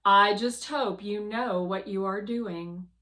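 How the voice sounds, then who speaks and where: A woman's voice: medium pitch, slow pace, fairly bright tone, rough recording. A woman voicing a stern, cautious machine spacecraft with a formal, officious manner in a children's storybook. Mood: cautioning.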